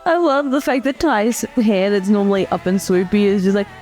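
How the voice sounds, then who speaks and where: A woman's voice: mid-range voice, expressive, normal speed, a little mellow. A woman in casual co-commentary chat, with soundtrack music playing behind her. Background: film soundtrack music.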